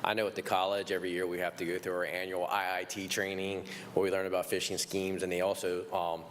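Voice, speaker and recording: A man's voice: low voice, monotone, fast talker, fairly bright tone, a little hiss or hum. A man moderating a business panel in a meeting room, talking in a chatty, conversational way.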